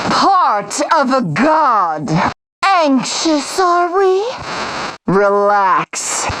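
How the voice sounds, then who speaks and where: Imperious high-pitched megalomaniac game villainess. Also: female voice, background noise, exaggerated voice. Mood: sadistic, mocking. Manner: grandiose, imperious, sadistic, theatrical. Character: megalomaniac, cruel villainess commander craving to become God. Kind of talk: theatrical video game voice acting.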